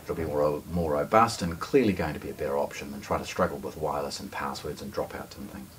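Recorded from a distance with faint noise, a man talks through a product demonstration. His voice is low and he explains things conversationally, leaning on key words. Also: steady tone, quick pace. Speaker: hi-fi shop presenter. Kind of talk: unscripted product unboxing presentation. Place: hi-fi shop showroom, indoors.

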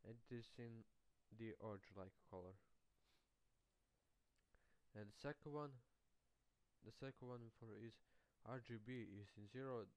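A man from Serbia, giving a casual software tutorial in a halting, repetitive way. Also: on the low side, rough recording. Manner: halting, repeats phrases as he explains. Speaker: tutorial host. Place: indoors, screen-capture voice-over.

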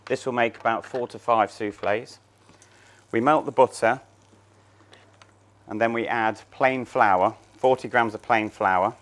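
A man's voice: medium pitch, steady tone, measured pace, pauses often, fairly bright tone. A man in his thirties, a chef, talking through a cooking demonstration step by step in a large showroom kitchen. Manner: plain, step-by-step explaining while working.

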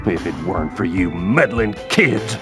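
An actor playing a grumbling, greedy villain sheriff in a cartoon video game. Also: male voice, low voice, animated, normal speed, over music. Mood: bitter.

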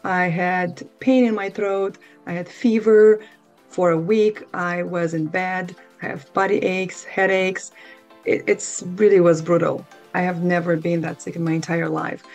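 A woman in her thirties, hoarse from recent laryngitis, explaining engineering exam material in a steady, methodical lesson. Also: on the low side, normal speed, soft background music. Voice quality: gravelly voice. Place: small indoor room with a plant.

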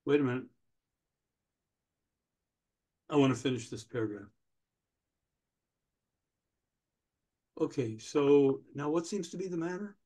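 A man in his sixties playing a pedantic, obstructive older DMV clerk in a staged comedy reading, officious, deadpan and stalling. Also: medium pitch, fast talker, natural voice. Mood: brusque, impatient. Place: indoors, on a video call.

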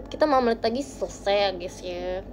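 A young woman hosting a casual livestream from her bedroom, chatting with viewers into a phone held close. She talks playfully, with quiet murmurs that jump into bright, lively bursts. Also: mid-range voice, a little fast, background noise. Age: young adult. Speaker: idol livestream host. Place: small bright bedroom indoors. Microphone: phone camera close to face.

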